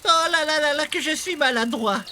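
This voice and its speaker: High-pitched, bumbling cartoon beaver. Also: male voice, moderate pace, heavy background noise. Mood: flustered. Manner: bumbling, exclamatory. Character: clumsy, cheerful, grateful talking beaver. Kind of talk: children's cartoon dialogue. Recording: loud music underneath.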